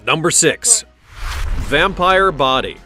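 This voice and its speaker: A man's voice: on the high side, lively intonation, slow, bright, forceful tone, soft background music. A man reading a scripted countdown narration in a dramatic storytelling way, with wry conversational asides.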